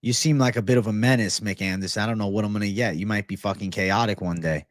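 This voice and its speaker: A man's voice: lowish voice, fairly even intonation, quick pace, dry recording, clean studio sound. A man hosting a casual livestream, chatting and answering viewers in slangy, profane, bro-heavy banter. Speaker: livestream host.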